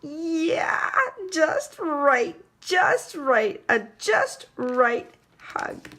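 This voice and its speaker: A woman voicing a whiny little brother in a children's picture book, exclaiming in drawn-out, repeated yelps. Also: sits high, big pitch swings, a bit bright, pitched above the performer's own voice, exaggerated voice. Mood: soothed. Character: whiny, squealing little brother, a small boy.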